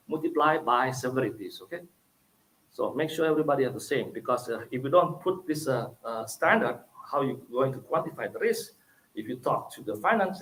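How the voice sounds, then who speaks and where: A man with an Indonesian accent giving a technical conference presentation, explaining step by step and often tagging lines with "okay?" and "right?", with some halting fillers. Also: fairly high pitch, fairly bright tone, rough recording.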